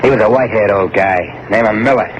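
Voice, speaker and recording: An American actor playing a cocky, haunted killer in tough street slang in an old-time radio crime drama. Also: male voice, on the low side, brisk, natural voice. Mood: tough, insistent. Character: hard-boiled escaped killer, cocky, edgy, haunted. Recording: muffled, very noisy vintage radio recording.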